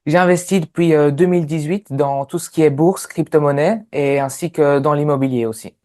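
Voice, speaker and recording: Man with a Sicilian accent, mellow voice. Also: on the high side, fairly quick. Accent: Sicilian Italian. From Sicily, Italy. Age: 30s. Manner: many hesitant fillers. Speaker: interview guest. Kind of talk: relaxed personal story.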